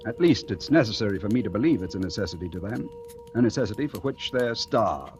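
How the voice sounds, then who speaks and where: A man plays a romantic wandering painter with a low, measured voice. He speaks in literate, poetic phrases, proud and thoughtful, in 1940s radio drama style. Music is clearly heard on the old radio recording. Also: animated, a little mellow, lightly acted. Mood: cool, needling. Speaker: lead actor and narrator.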